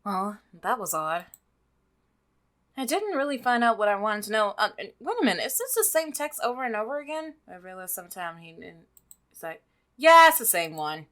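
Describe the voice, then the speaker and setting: A woman hosting a visual novel playthrough, reading aloud and commenting in a playful, expressive manner. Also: mid-range voice, normal speed. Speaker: gaming video host. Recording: music behind the voice.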